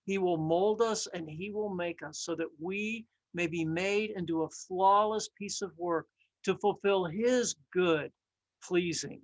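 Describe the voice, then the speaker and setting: Woman, storyteller, slow pace, earnest, deliberate, expressive devotional reading. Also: lowish voice, rough recording.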